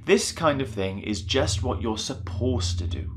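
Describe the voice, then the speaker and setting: Male storyteller for young children, playful and conversational, highly varied intonation, music underneath. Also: sing-song, measured pace. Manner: chatty, playful, acting out voices. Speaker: story reader. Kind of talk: animated children's Bible storytelling. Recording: music behind the voice.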